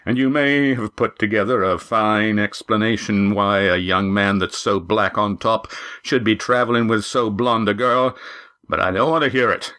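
A burly little innkeeper from an adventure tale, acted out by a male reader, rumbles in a low, growling bass. He is folksy and wry, genial on the surface with a warning underneath. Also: steady tone, normal speed, bright, forceful tone. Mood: wry, blunt. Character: gruff, burly, short innkeeper, genial but menacing.

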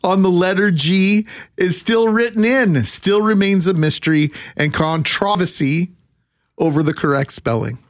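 Higher-pitched Canadian man, radio co-host. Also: unhurried. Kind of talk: casual radio banter.

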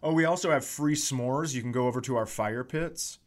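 A man's voice: medium pitch, moderate pace. A man hosting a comedy podcast, telling casual stories with profane, exaggerated ranting.

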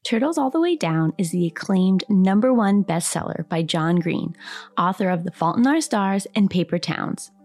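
A woman's voice: medium pitch, animated, moderate pace, a little mellow. A woman announcer giving a polished, scripted promotional read.

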